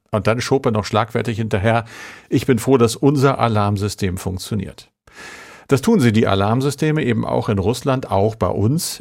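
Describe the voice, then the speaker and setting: A man's voice: on the low side, creaky, a little mellow. A man hosting a podcast, reading scripted moderation. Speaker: podcast host.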